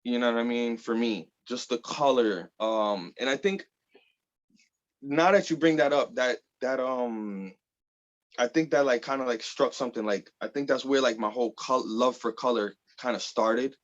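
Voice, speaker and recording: A man's voice: medium pitch, normal speed. Thirties guy from the bronx, rambling casual talk, says bro a lot, kinda dull lo-fi sound. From the Bronx, New York. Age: 30s. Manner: loose and rambling, full of "like" and "bro", swears casually. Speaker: guest artist. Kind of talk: casual interview chat.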